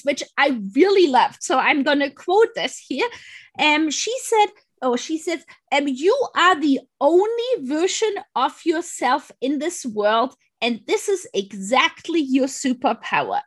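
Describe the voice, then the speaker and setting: A woman hosting an interview, enthusiastic and full of fillers. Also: sits high. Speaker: interviewer, host. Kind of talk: conversational interview.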